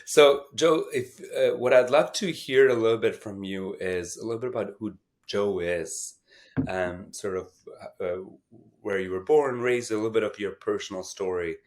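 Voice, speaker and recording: A man's voice: on the low side, moderate pace. A man hosting a podcast interview, friendly and a bit hesitant, with many fillers. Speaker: podcast host.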